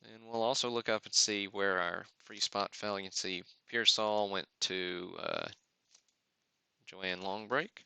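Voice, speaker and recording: A man's voice: fairly low pitch, fairly even intonation, unhurried, creaky, sharp tone, clean tone, dry recording. A man hosting a live card-opening stream with casual, off-the-cuff commentary and muttered asides to himself. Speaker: host of a sports card break livestream.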